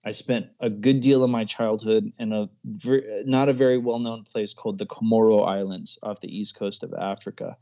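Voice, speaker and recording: A man's voice: lowish voice, steady tone, moderate pace, vocal fry, hardly any pauses, mellow, dull audio. A man in his thirties, a filmmaker guest in a casual interview, chatty and often laughing as he talks. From grew up overseas, American parents.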